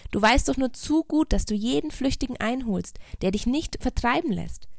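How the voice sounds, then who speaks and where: A woman voicing a small, kind old woman in a storybook, gentle, curious and understanding. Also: a little high, lively intonation, moderate pace, vocal fry, no echo, clean studio sound, pitched above the performer's own voice. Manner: gentle, curious, delighted to listen.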